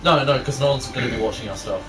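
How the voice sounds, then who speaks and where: A woman's voice: a bass voice, quick pace, distant mic, faint music behind. A woman bantering casually among friends in a joking reading group. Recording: echoey room, off mic, faint noise.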